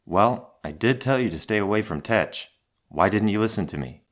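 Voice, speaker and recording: An actor playing a stern, brooding hero and mentor in an audio drama, serious and restrained. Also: male voice, on the low side, lively intonation, moderate pace, lightly acted. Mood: serious, concerned.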